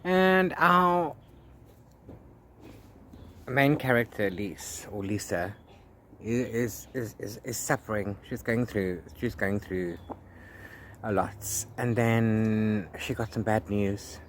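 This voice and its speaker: A man talking casually as a book vlogger, rambling in a halting way with repeated words and restarts. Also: fairly low pitch, fairly bright tone. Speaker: book vlogger, read-along co-host. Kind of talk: casual rambling video diary about a book. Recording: clear background noise, ambient sound.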